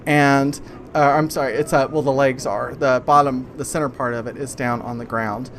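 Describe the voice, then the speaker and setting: A man narrating a tabletop game as game master in a halting, conversational improvised way. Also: mid-range voice, fairly even intonation, a little hiss or hum.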